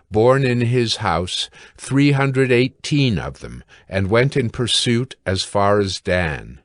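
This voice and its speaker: A man narrating scripture from a script in a measured, deliberate way. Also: on the low side, no echo, clean studio sound.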